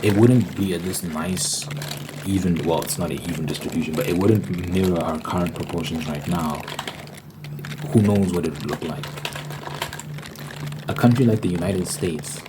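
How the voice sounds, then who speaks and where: A man's voice: low voice, moderate pace, pauses often, background noise. A man giving a rambling ASMR monologue as a video host, emphatically repeating phrases to drive his points home.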